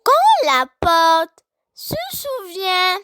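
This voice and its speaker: A very high-pitched, childlike voice recites poetry with a bright tone and lively melody. The delivery is deliberate, broken into short phrases with long pauses between them, as if for young learners to follow. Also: no room sound, clean studio sound. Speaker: poem reciter.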